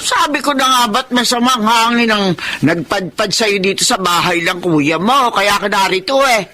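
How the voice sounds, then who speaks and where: A woman playing a fretful, scolding but soft-hearted elderly grandmother in a Filipino radio drama, querulous, repeating herself and stammering. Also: mid-range voice, animated, moderate pace, over music, lightly acted. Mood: exasperated scolding.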